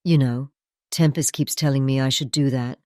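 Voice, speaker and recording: Woman doing a stern old granny in an audio drama, super low mellow voice, kindly but firm, chatty. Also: fairly even intonation, normal speed, no room sound, studio quality. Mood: friendly, chatty. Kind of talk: audio drama character acting.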